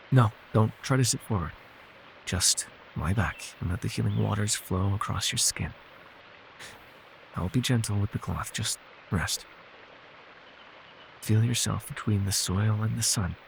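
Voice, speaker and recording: Guy doing an immortal elf healer for fantasy asmr, lowish creaky murmur, soothing n a bit wry, formal old-timey wording. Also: a little hiss or hum, poor audio. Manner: measured, formal archaic phrasing, soothing. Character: gentle, weary immortal male elf healer, wryly teasing. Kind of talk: intimate fantasy ASMR roleplay.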